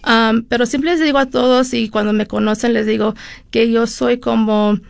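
A woman's voice: fairly high pitch, fairly even intonation, normal speed, gravelly, dry recording. A middle-aged woman from California, a lawyer and interview guest, tells her story in a chatty way, with frequent fillers and switches into English. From California, USA. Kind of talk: conversational storytelling.

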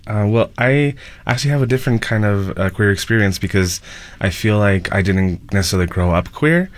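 A man speaking as a panel guest, telling a personal story casually in a halting, thoughtful way. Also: on the low side, animated, no room sound.

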